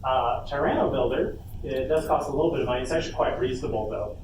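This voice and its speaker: A man's voice: medium pitch, fast, darkish tone, far from the mic. A man giving an informal workshop presentation, explaining things step by step with some hesitation. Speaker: workshop panelist. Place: convention panel room. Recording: echoey room from afar, noisy.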